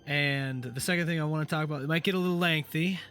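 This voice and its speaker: A man who is sick and a bit raspy, hosting a game playthrough in casual, rambling commentary with many fillers and restarts. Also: on the high side, fast, fairly bright tone, faint music behind. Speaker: gaming channel host. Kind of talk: casual let's play commentary.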